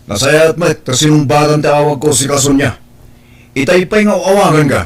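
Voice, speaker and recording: Man acting curt, higher-voiced Ilocano big brother. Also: normal speed, natural voice. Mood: curt, demanding. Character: demanding, curt older brother wanting money. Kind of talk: Ilocano audio drama acting.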